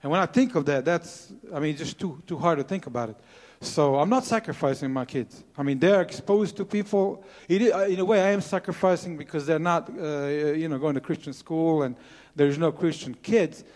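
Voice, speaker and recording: A man's voice: on the high side, normal speed, darkish tone, long reverb tail. A man in his forties from Croatia, speaking English with a Croatian accent, earnestly sharing his testimony to a church congregation with many hesitant fillers. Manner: earnest, plain-spoken with frequent uh fillers. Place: church sanctuary.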